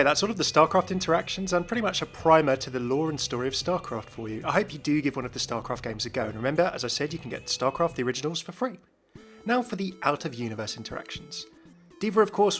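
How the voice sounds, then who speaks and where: Higher-pitched male explainer narrator. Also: lively intonation, quick pace, fairly bright tone, soft background music. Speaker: video host, narrator.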